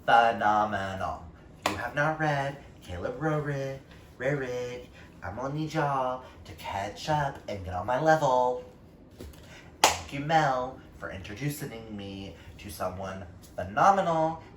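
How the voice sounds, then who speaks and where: A man chatting casually about books as a vlogger, gushing and emphatic with dramatic pauses. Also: medium pitch, animated. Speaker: book reviewer vlogger, reading challenge co-host. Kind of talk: casual book vlog chat. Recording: roomy, distant mic, faint noise.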